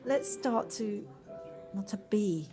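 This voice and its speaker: A woman in an audio play acting an earnest, reflective woman with a halting, tentative delivery. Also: mid-range voice, expressive, mellow. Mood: happy, tender. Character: earnest, hesitant woman coming home softened and reflective. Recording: home recording on personal device, music behind.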